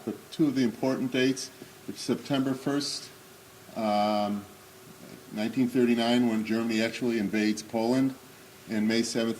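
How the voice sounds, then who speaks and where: A man in his sixties with a booming voice, giving an unscripted talk at a remembrance service with many pauses and fillers, then reading memoir excerpts aloud. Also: a little mellow, a little hiss or hum, poor audio. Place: synagogue hall. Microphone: speaking without a microphone.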